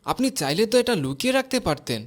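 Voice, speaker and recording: A man's voice: fairly high pitch, sing-song, quick pace, noisy background. A man playing a kindly, supportive village imam in a cartoon. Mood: approving. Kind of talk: cartoon dialogue.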